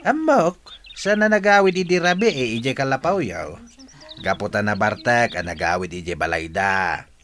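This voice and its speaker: A man's voice: medium pitch, expressive, moderate pace, a bit bright, a little hiss or hum, lightly acted. A man playing an older uncle, coaxing and insinuating, in an Ilocano audio drama.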